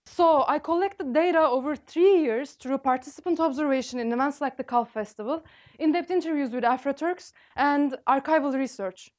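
A woman in her thirties presents her sociology dissertation research to an audience in a library seminar room, telling it clearly like a story. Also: high voice, normal speed. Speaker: graduate student presenter. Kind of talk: thesis talk.

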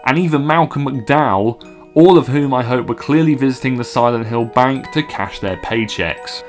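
A man in his twenties narrating a scripted video review in a sardonic, scornful way. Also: mid-range voice. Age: 20s. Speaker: film reviewer.

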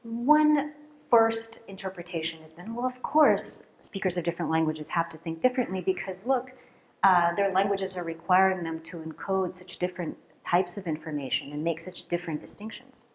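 A middle-aged woman, a native Russian speaker, giving a conference keynote at a lectern in a lecture hall, lively and often checking in with "right?". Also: on the low side, moderate pace, slight background noise. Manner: lively, questioning, with frequent "right?" and fillers. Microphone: lectern microphone.

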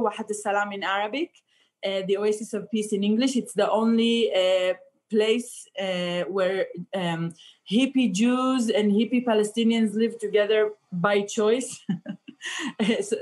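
A woman's voice: mid-range voice, darkish tone. A woman, a stand-up comedian chatting and joking on a video call with many fillers. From Israel. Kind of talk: comic chat on a video call. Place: indoor room. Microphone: laptop webcam on video call.

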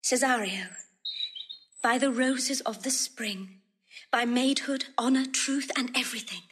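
Yearning noble lady, breathy and a bit high, old verse drama. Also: measured pace, fairly bright tone. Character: proud lovesick noblewoman, passionate and yearning. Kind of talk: classical verse drama acting, recorded audio drama.

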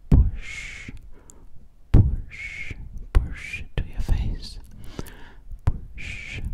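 A young woman whispering breathily and gently right up at the microphone in a soothing ASMR roleplay, often repeating words. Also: noisy background. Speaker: ASMR performer. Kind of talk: ASMR personal-attention roleplay. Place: small indoor room. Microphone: microphone right at the mouth.

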